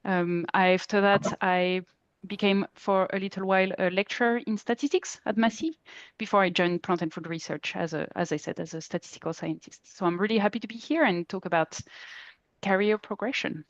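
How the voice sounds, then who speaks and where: A woman's voice: medium pitch, brisk, no echo. A woman in her thirties from France with a French accent, introducing herself chattily as a guest speaker in a webinar. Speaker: guest speaker, statistical scientist. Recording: slightly dull lo-fi call audio.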